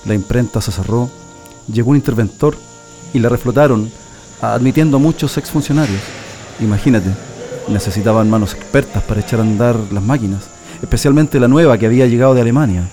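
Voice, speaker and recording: A man's voice: mid-range voice, dark tone, lightly acted. A Chilean man playing a former printing worker and resistance militant telling his story in a first-person radio drama. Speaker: narrator giving testimony in a radio drama.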